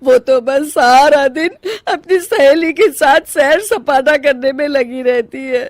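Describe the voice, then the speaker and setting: A woman's voice: high voice, slow, no room sound, studio quality, pitched above the performer's own voice. A woman voicing a meek, put-upon older mother-in-law in a cartoon moral story, crying as she talks. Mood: tearful complaining.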